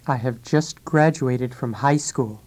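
A man's voice: mid-range voice, slow, a little mellow. A man reading scripted example sentences for a language lesson, one separate phrase at a time.

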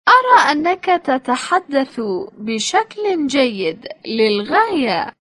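A woman reading short phrasebook sentences slowly and clearly for language learners. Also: sits high, very animated, a bit bright, no echo, clean studio sound. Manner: slow, clearly spaced phrases. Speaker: phrasebook reader.

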